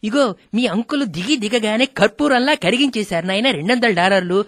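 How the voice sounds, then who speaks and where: A woman's voice: medium pitch, fairly quick, no room sound, clean studio sound, lightly acted. A woman playing a fretful, chatty elderly village mother in a radio comedy skit, speaking plaintively. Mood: complaining. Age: older. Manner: plaintive, calls listener "son" fondly.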